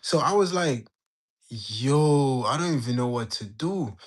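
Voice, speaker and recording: A young man in his late teens from Jamaica, telling a story in a slangy, animated way while bantering with his livestream chat. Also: medium pitch, a little slow. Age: young adult. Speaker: livestream host. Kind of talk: casual storytelling to live chat.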